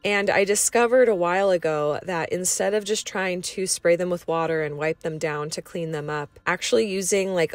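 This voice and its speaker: A woman's voice: fairly low pitch, no room sound. A woman giving a casual voice-over as a vlog host, explaining cleaning tasks in a chatty way. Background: background music.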